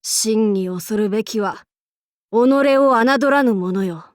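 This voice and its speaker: A woman's voice: medium pitch, slow, no room sound, studio quality, natural voice. A woman voicing a bold, androgynous young demon-king warlord in an anime game, with boastful, archaic lordly speech and playful swagger. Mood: proud, resolute. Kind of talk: anime game character voice lines.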